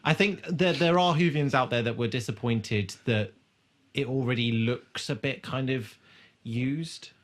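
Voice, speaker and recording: A man's voice: medium pitch, a little slow. A man co-hosting a podcast in casual conversation, thoughtful and a little hesitant, with some casual swearing. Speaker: podcast co-host. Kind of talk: casual chat about a TV show.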